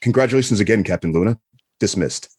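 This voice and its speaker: A man acting a calm, benevolent space admiral with a husky voice and a reassuring manner in an audio drama. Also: fairly low pitch. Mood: reassuring, congratulatory. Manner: measured, reassuring.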